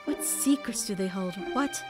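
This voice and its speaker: A woman playing a mysterious storyteller who tells eerie tales to children in a theatrical, hushed, suspenseful way in an audio play. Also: mid-range voice, animated, normal speed, over loud music, close to an everyday voice. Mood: musing, mysterious.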